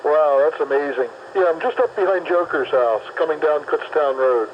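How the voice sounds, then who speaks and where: A man's voice: a little high, normal speed. A man talking on a CB radio while driving his car, upbeat and chatty, describing his route. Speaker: mobile CB radio operator. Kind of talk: casual CB radio chat. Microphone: mobile CB radio handset. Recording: muffled CB radio with static.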